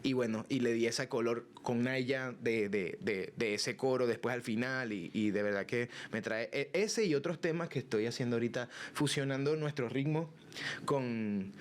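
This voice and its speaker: A man's voice: medium pitch, moderate pace. A man with a Caracas accent, a guest musician chatting animatedly in an interview, often restarting his sentences. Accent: Venezuelan, Caracas. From Venezuela. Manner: animated, sings and scats rhythms, frequent restarts. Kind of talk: casual interview chat.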